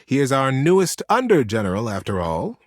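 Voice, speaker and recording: A man voicing an aged female animal leader, grave and commanding, with deliberate, weighty speech in a storybook tale. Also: medium pitch, wildly swooping pitch, no echo, studio quality, pitched above the performer's own voice.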